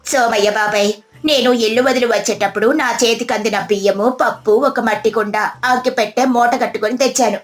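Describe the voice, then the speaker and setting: High-pitched, resourceful village woman played by a woman. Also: steady tone, a little fast, natural voice. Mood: calm, reassuring. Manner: reassuring, explanatory.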